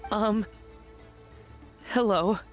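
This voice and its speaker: A man's voice: sits high, extremely slow, faint music behind. A man playing a timid, apologetic underling in a video game, hesitant and pleading as he speaks. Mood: nervous, pleading. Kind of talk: video game character acting.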